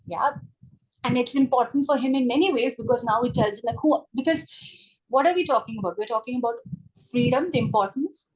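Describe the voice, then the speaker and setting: A woman reviewing a short story in a webinar, speaking over a video call in a chatty, animated way, often adding "right?" and "you know". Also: a little high, fairly quick, breathy edge, low-quality recording. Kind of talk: webinar book discussion.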